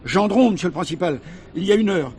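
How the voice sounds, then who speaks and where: A man's voice: sits high, lively intonation, fast talker, a little mellow. An actor playing a nervous, deferential junior policeman in a 1970s French drama, hesitant and stammering. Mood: nervous, sheepish.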